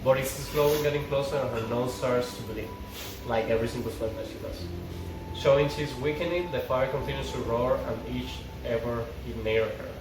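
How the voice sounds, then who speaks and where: A man's voice: mid-range voice, moderate pace. A man reading stage directions aloud as narrator at an informal script table read. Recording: echoey, distant mic, clear background noise.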